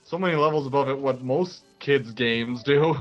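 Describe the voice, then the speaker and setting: A young man co-hosting a let's play with casual, agreeable gaming commentary, delivered in a halting, conversational way. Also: mid-range voice, muffled recording, soft background music.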